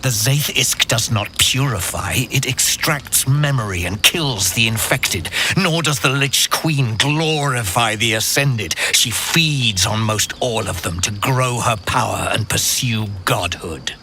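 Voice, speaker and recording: An actor playing a solemn githyanki knight and secret rebel in a fantasy game, speaking in a deliberate, formal way. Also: male voice, medium pitch, bright, forceful tone, a little hiss or hum, low-quality recording. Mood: urgent, grave. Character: grave githyanki knight, a secret rebel against his queen. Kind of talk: dramatic fantasy game acting.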